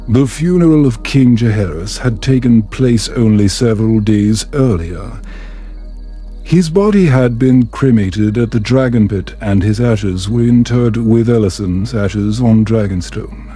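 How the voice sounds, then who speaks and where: A man narrating a bedtime story in a calm, soothing, measured manner. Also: fairly low pitch.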